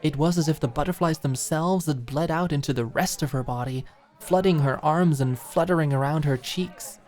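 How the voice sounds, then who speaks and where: A woman's voice: low voice, normal speed, a little mellow, soft background music. A woman reading scripted, dramatic story narration as an audiobook narrator.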